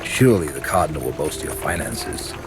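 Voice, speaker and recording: An actor playing a stern, sardonic inquisitor in a grim medieval fantasy video game, with a gruff, dry, commanding manner. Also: male voice, rumbling low, lively intonation, a little fast, music in the background.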